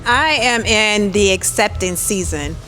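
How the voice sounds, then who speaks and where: A woman's voice: mid-range voice, expressive, measured pace, pushed and bright, big-room sound, faint music behind, low-quality recording. A woman, talking candidly on a panel and often checking in with the audience. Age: 50s. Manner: candid, conversational, uses tag questions. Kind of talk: panel talk.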